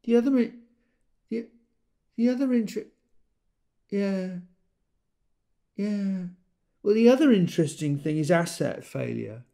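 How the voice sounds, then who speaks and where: A man's voice: high-pitched, lively intonation, a little slow, creaky, long pauses, dark tone, heavily acted. A man playing a busy, jargon-heavy corporate consultant on a one-sided call in an audio drama, businesslike but hesitant.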